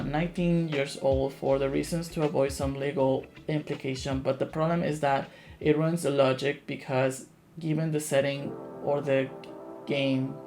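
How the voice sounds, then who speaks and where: A man hosting a casual live Q&A as a game developer, playful and self-deprecating. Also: mid-range voice. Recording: background guitar music. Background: guitar music.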